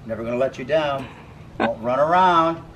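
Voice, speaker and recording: A man's voice: mid-range voice, moderate pace. Joking man giving a boat tour. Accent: American. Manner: playful, teasing, storytelling. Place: outdoors on a lake in a small boat. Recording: loud boat engine and water noise.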